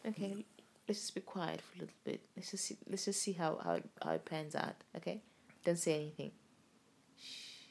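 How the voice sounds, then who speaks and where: Sweary, gravelly-voiced woman podcaster. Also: fairly low pitch, moderate pace. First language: not English. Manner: sweary, rambling, laughs often. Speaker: podcast co-host. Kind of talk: casual tipsy chat. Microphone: home recording, lying down.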